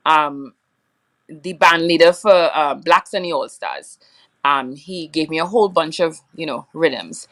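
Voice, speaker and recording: Young trini woman, low voice, chatty and meandering, talks in a loose casual way. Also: sharp tone. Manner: rambling, many fillers, laughs often. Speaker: soca singer, guest. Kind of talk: casual interview chat.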